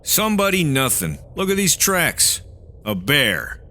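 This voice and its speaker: Over clear background noise comes a blunt, dismissive fugitive in a radio adventure. His pitch sits in the middle and moves in a lively, theatrical way. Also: measured pace, exaggerated voice. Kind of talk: old-time radio adventure acting.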